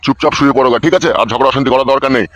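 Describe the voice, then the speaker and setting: A man's voice: medium pitch, fairly even intonation, very fast, a bit bright, no echo, close to an everyday voice. A man voicing a crude, bossy father-in-law in a comic cartoon, cursing and giving orders. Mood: firm, advising. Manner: gruffly cursing, commanding. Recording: dull, lo-fi sound.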